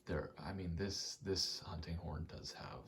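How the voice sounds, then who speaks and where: A man giving a rambling ASMR gaming commentary, halting and meandering as he thinks aloud while playing. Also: deep voice, vocal fry, fairly bright tone, a little hiss or hum. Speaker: gaming video creator.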